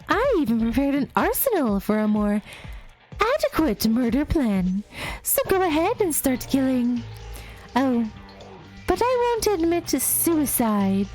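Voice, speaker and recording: Brief: woman voicing a cruel, playful bear mascot from a game, high and swooping, slow sing-song taunts. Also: a little mellow, exaggerated voice. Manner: sing-song, teasing, drawn-out delivery. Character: mischievous male bear mascot, gleefully cruel. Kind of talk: voicing a game's dialogue aloud.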